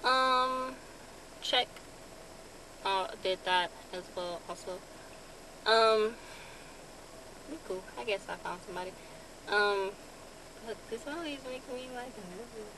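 A young woman vlogging from a parked car in a relaxed, casual way, with lots of ums. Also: medium pitch, slow pace, pauses often, low-quality recording. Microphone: phone camera on dashboard. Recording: car with clear background noise. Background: vehicle ambience.

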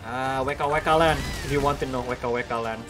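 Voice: mid-range voice, steady tone, quick pace, over music. A young adult streamer from Indonesia with an American English accent, chatting casually with viewers while playing, laughing often and correcting themself. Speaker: game streamer.

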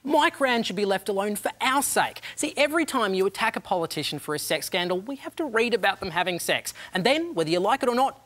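A woman's voice: mid-range voice, very animated, fast, hardly any pauses, a bit bright. A young woman presenter in her twenties delivering satirical commentary with a cheeky, sardonic tone. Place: cluttered TV studio set.

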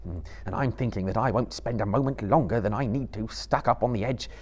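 A man voicing an earnest, determined, gentlemanly hobbit adventurer, thoughtful and well-spoken, in a live storybook reading. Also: lowish voice, brisk, lightly acted.

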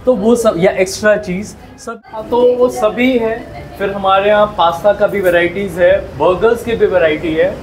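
A man's voice: high-pitched, fairly even intonation, normal speed, crowd noise. A man working at a restaurant, explaining menu items, combos and prices in a casual, salesy way. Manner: salesy, listing prices and deals. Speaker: restaurant staff.

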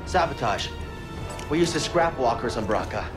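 An actor playing a determined young hero in a video game, speaking in an earnest, understated, dryly wry way. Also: male voice, mid-range voice, animated, moderate pace, close to an everyday voice. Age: young adult. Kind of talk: naturalistic video game acting. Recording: game music behind the voice. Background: clear cinematic music.

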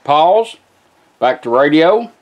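A man's voice: on the high side, sing-song, takes it slowly, highs cut off, crowd noise. A man giving a casual, plain-spoken, folksy hands-on product demonstration in short step-by-step instructions. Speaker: presenter demonstrating a custom car radio. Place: indoors at a workbench. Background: guitar music from the radio being demonstrated.

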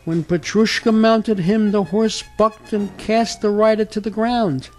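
Higher-voiced man narrating a fairy tale. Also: steady tone, unhurried, faint music behind. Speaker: storyteller.

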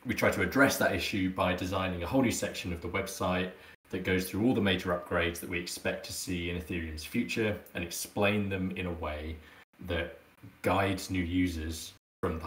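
A man's voice: deep voice, fairly bright tone, reverberant. A man giving an explanatory presentation over an online call. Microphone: online call with screen share.

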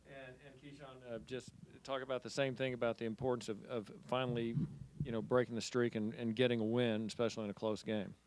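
A man asking questions as a sports reporter, in halting, repetitive, loosely built phrases. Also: mid-range voice, level tone, low-quality recording. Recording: faint background noise and clicks.

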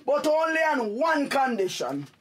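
A man's voice: very high-pitched, very animated, unhurried, full character voice. An actor playing a blustering, exasperated middle-aged Jamaican father in a radio drama, stammering and repeating himself in Patois. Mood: stern. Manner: stammering, repeating words when flustered.

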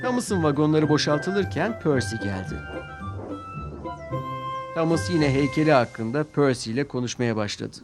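A man's voice: on the high side, animated, normal speed, long pauses. A man narrating a scripted story for children. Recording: music behind the voice.

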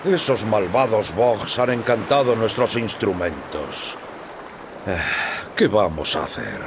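A man playing a bumbling, exclamatory sailor musician in an animated video game. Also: lowish voice, slow, background noise. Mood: worried, puzzled. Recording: music behind the voice.

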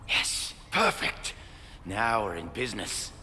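Casting: eccentric middle-aged game scientist, low, bright and lively, distracted, echoing with faint noise. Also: male voice, slow pace, exaggerated voice. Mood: pleased. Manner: distracted, offhand, precise. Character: eccentric, absent-minded male scientist, fussy and casual. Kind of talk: video game voice acting. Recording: echoey reverb, faint background noise.

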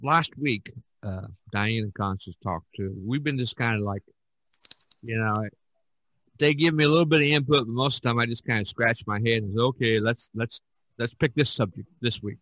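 A man's voice: medium pitch, fairly bright tone, no room sound. Rambling male podcast host, dry close sound. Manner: rambling, halting, repeats words. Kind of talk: casual chat.

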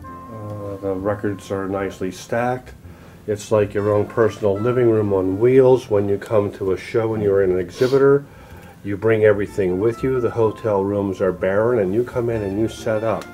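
Low-voiced man hosting a show-floor interview. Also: steady tone, normal speed, warm tone, soft background music, poor audio. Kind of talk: casual on-camera trade show interview. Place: hotel room at an audio show.

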